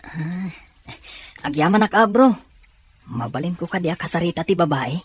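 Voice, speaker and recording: An actor with a Filipino accent playing a humble, earnest, soft-hearted young student in an audio drama. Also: male voice, a little high, expressive, normal speed, slightly rough, no echo. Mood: grateful, hopeful.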